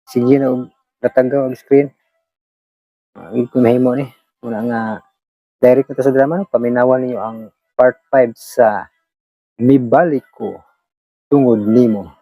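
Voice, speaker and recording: Dry-sounding Visayan Filipino vlogger chatting haltingly. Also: mid-range voice, steady tone, slightly rough, no echo, phone-quality sound. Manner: halting, repetitive, apologetic. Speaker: vlog host who shares radio dramas. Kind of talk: casual rambling chat to listeners.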